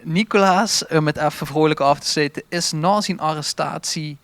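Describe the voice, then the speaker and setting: Male podcast co-host, slightly high voice, Limburgish Dutch accent, hesitant casual delivery, close dry sound. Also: normal speed, a bit bright, clear, resonant tone, no room sound. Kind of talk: casual chat.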